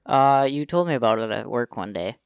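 A man's voice: mid-range voice, lively intonation, brisk, creaky, clean tone, no room sound. A man joining a podcast as a guest, chiming in with short, dry remarks in casual chat. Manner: brief dry interjections. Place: small studio.

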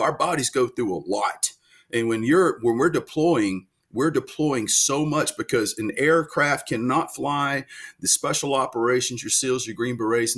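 A man in his forties from North Louisiana, a veteran guest telling detailed stories in a casual interview, earnest and full of fillers. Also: medium pitch. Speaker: guest, military veteran and country singer.

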